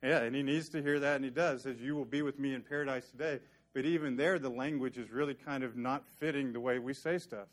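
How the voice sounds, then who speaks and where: A man's voice: medium pitch, fairly even intonation, fast, few pauses. A man teaching a sermon, earnest and conversational, walking through scripture and sometimes joking dryly with the congregation. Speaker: preacher.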